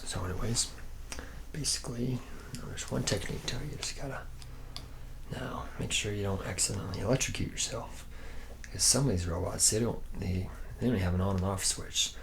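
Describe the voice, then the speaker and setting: Man acting laid-back tipsy skirt-chasing robot worker, deep voice, rambling slangy ASMR delivery, frequent whispering, noisy recording. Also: a little fast, lightly acted. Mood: casual instructing. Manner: rambling, slangy, easily sidetracked, often drops to whispers. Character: laid-back boozy womanizing robot factory worker from 2084. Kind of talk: ASMR roleplay.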